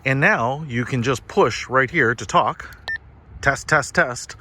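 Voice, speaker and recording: A man hosts a casual product review in a medium-pitched voice. His talk is upbeat and slangy, landing on punchy, emphatic phrases. There is a faint layer of outdoor ambient noise in the recording. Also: slow, bright, forceful tone. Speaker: product reviewer and channel host.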